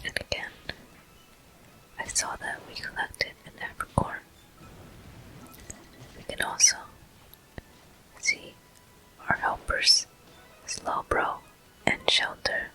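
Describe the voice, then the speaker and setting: Slow, bright ASMR whisper. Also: rough recording. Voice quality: breathy, unvoiced whisper. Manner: gentle, unhurried, short soft phrases. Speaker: host of a gaming ASMR video. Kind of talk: whispered ASMR gameplay commentary.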